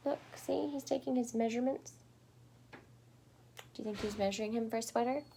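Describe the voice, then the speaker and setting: A woman's voice: a little high, a little fast, gravelly, mellow, slightly rough. A woman reading a children's story aloud, expressively and with chatty little asides. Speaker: storybook reader.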